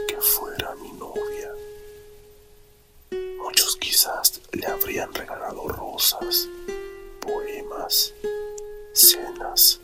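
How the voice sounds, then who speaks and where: A man softly whispering a romantic story in a slow, deliberate ASMR narration, close to the microphone. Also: extremely high pitch. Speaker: storyteller reading own written story.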